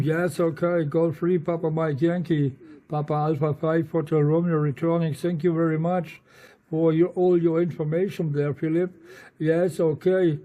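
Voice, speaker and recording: A non-native English-speaking man, an amateur radio operator, chatting in a halting, hesitant way. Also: a little high, level tone, mellow. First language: not English. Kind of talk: casual radio chat. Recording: muffled, narrow-band radio audio with hiss.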